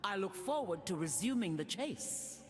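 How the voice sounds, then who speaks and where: An actress playing a proud, ruthless warrior matriarch in a video game, speaking in a menacing, declamatory way. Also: female voice, mid-range voice, lively intonation, moderate pace, a little mellow, natural voice. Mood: proud, reverent. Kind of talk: scripted video game character voice lines. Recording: long reverb, music behind.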